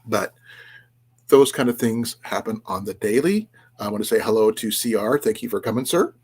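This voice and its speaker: The guy who runs a small outdoors livestream on a weeknight, a little raspy, wandering from point to point and stopping to answer whoever is in the chat. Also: fairly low pitch, a little mellow. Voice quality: slightly raspy voice. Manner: rambling, halting delivery with restarts and trailing asides. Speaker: livestream host of an outdoors and preparedness channel. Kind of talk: casual livestream chat, reading and answering viewers' comments.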